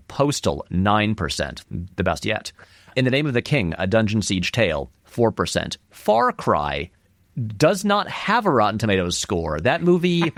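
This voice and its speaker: A man's voice: on the low side, no room sound, clean studio sound. A man giving casual, conversational film commentary as an interview guest, often hedging and qualifying his points.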